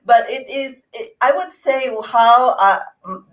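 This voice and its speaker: A woman in her forties with an Indonesian accent, a guest in an interview, speaking earnestly with frequent laughs and halting restarts. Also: on the high side, a bit bright. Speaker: interview guest, grief coach. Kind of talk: conversational interview.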